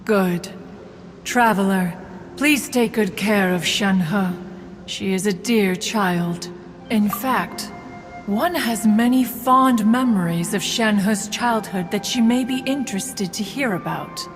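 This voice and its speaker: A lofty immortal crane spirit voiced by a woman talks in an unhurried, formal way and calls herself "one". Her voice carries a long echo. Soft music plays behind her. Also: mid-range voice, lightly acted. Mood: stately. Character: ancient crane spirit, haughty, motherly, aloof. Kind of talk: English game voice acting.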